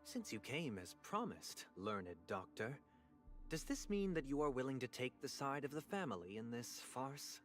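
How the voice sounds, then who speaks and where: A male actor playing a suave, suspicious interrogator in a video game, speaking in a measured, formal, probing way. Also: mid-range voice, sing-song, close to an everyday voice. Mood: calmly persuasive. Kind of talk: scripted video game dialogue. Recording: music behind the voice. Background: music.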